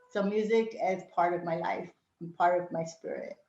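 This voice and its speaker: A woman's voice: on the low side, measured pace, a little mellow. A middle-aged woman, raised in Brooklyn and born in Puerto Rico, reminiscing casually as an interview guest, with many fillers and frequent laughs. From Puerto Rico, raised in Brooklyn, New York. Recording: roomy, dull lo-fi sound.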